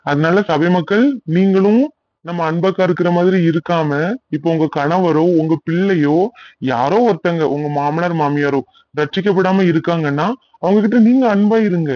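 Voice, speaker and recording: High-pitched, earnest cartoon pastor played by a man. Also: moderate pace, no echo, dull audio, natural voice. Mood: earnest, exhorting. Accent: southern Tamil Nadu. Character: kindly, earnest male village church pastor.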